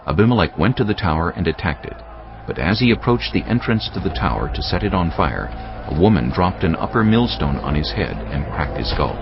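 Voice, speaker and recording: A man's voice: deep voice, expressive, fairly quick. A man narrating a dramatised audio Bible in a solemn storytelling manner. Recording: muffled, band-limited audio with music. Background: music bed.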